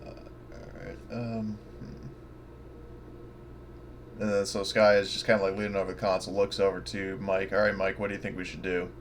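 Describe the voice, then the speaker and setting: A man's voice: deep voice, level tone, moderate pace, noisy background. A man playing in a tabletop roleplaying game, speaking casually as he describes and voices his character.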